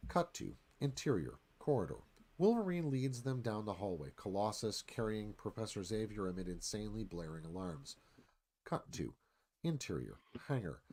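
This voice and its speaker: A man's voice: fairly low pitch, very animated, moderate pace, darkish tone, breathy edge. A man reading dramatic scripted narration as screenplay narrator, in clipped phrases.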